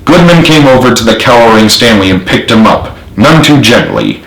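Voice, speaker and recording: A man's voice: medium pitch, very animated, normal speed, vocal fry, fairly bright tone. A man reading his own story as scripted narration, in a roomy recording. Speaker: author narrating own book.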